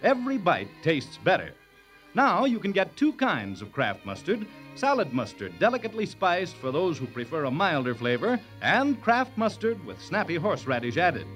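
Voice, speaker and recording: A man's voice: sits high, sing-song, moderate pace, a bit bright, soft background music. A man reading a radio commercial in an upbeat 1940s style. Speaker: commercial announcer.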